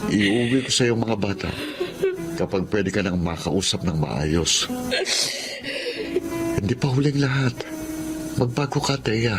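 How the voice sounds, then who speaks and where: A stern yet caring father, firm and weary. His pitch moves in a lively, expressive way as he admonishes in a Filipino audio drama. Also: measured pace, pauses often, music in the background. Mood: admonishing. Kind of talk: emotional Filipino drama acting.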